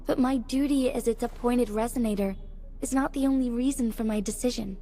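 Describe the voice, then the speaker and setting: Game character: actress plays resolute young female leader, earnest and formal, higher-pitched, soft-edged mellow tone, music underneath. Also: a little fast, natural voice. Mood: solemn resolve. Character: solemn young female leader, resolute, devoted to her guardian. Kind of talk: scripted video game voice acting. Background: music behind the voice.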